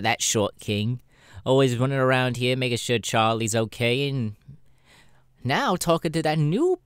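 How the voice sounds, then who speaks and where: A man voicing a sassy, cynical male demon adult performer in a casual, drawling audio roleplay, often pausing mid-thought. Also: mid-range voice, smooth, no echo, studio quality. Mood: chatty. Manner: hesitant, drawling, animated, irreverent.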